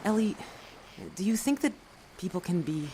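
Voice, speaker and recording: A woman's voice: medium pitch, animated, normal speed, dark tone, a little hiss or hum, natural voice, poor audio. A woman voicing a shy, awkward young man who stammers and hesitates, in an audio drama. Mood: shy, earnest.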